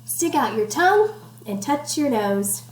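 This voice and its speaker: A woman's voice: sits high, very animated, a little slow. A woman teacher doing an interactive picture-book read-aloud for children at home, encouraging them with questions and instructions. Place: at home, indoors. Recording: echoey room with faint background noise.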